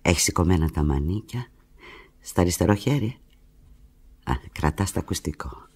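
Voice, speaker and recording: An actress playing a desperate, lovelorn woman on the telephone to the lover who left her, in a theatrical radio drama monologue, halting and emotional with a breathy, husky voice. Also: extremely low pitch, animated, heavily acted. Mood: wistful, intimate. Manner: halting, emotional, swinging from hushed murmurs to urgent cries.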